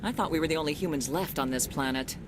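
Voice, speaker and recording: A woman's voice: quick pace, natural voice. An actress playing a trusting, determined middle-aged colonist mother in a video game, earnest and firm. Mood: relieved, firm. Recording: noisy rumbling ambience behind voice.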